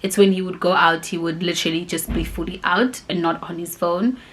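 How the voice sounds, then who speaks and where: A woman's voice: on the low side, a little fast, slight background noise. A woman, chatting casually and rambling as she answers viewer questions, with lots of fillers. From grew up in Cape Town, South Africa. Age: 20s. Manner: rambling and animated, many "like" and "you know" fillers.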